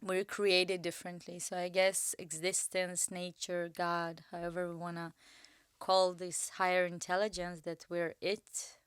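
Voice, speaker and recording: A woman guest on a podcast, speaking in a thoughtful, soft-spoken way in casual chat. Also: fairly low pitch, little pitch movement, unhurried, smooth, dry recording, clean studio sound.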